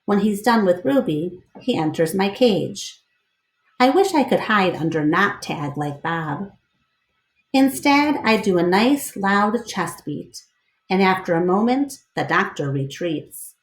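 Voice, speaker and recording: A woman narrating a children's book aloud as a storyteller, in an expressive read-aloud style. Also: medium pitch, slow, roomy sound.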